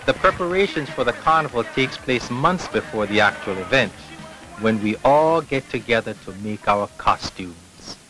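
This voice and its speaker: Caribbean guy narrating a doc, calm and measured, music playing loud behind him. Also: mid-range voice, poor audio. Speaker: documentary narrator. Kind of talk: scripted narration.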